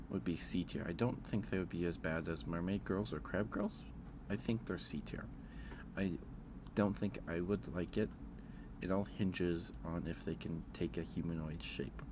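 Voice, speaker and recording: A man with a low voice talks casually, as on an ASMR livestream. He thinks aloud, pausing and hedging his way through his thoughts. Clear ambient noise sits behind him. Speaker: streamer.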